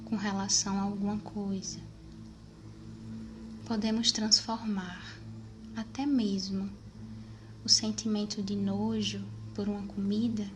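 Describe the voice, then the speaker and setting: A Brazilian woman guides a meditation at a slow pace. Her voice sits at a medium pitch for a woman, and she leaves pauses between measured phrases. Faint noise runs behind her.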